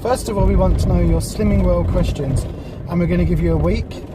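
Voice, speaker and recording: A vlogger with a croaky voice from a cold, chatting in a rambling way with lots of ums from inside a car. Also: medium pitch, brisk, recorded from a distance. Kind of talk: casual chat. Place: in a parked car. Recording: noisy car interior. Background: street and traffic noise.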